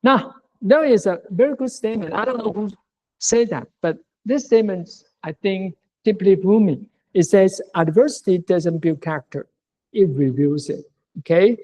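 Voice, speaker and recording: A lecturer from Taiwan whose first language is Mandarin gives a classroom lecture in English. They pause and restart often and close many points with a checking "okay?". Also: medium pitch, dark tone, rough recording. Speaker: university lecturer.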